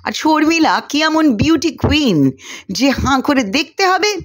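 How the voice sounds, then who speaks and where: A woman's voice: high-pitched, sing-song, unhurried, no echo, studio quality, pitched above the performer's own voice. A woman voicing a touchy, scornful young male college artist in a story, snapping back in student slang. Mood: irritated, boastful.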